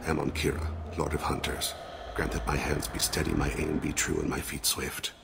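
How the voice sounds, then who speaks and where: Game role: devout alien assassin, rock-bottom gravel, hushed and measured, noisy backdrop. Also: male voice, little pitch movement. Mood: solemn prayer. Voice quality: very gravelly, low rasp. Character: solemn alien assassin, devout, dying father. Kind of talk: video game voice acting.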